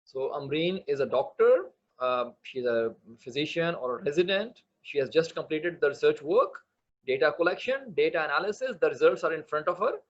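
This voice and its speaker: A man's voice: a little high, lively intonation, normal speed, muffled recording. A man from Pakistan, not a native English speaker, teaching an online training session on research writing, earnest and full of hesitant fillers. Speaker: medical writing instructor.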